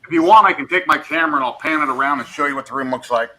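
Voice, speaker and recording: A man's voice: a little high, very fast, a crowd in the background, poor audio. A man on a video call, eagerly describing his space. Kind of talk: casual video call chat.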